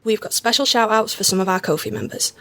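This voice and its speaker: A woman's voice: medium pitch, lively intonation, quick pace, pushed and bright. A woman podcast host reading out a scripted announcement.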